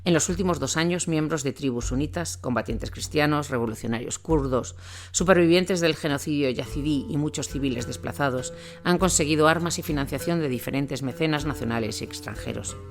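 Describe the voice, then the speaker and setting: A man's voice: fairly high pitch, fairly quick. A man from reading a scripted documentary war narration as the narrator of an audio drama. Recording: music bed under the voice.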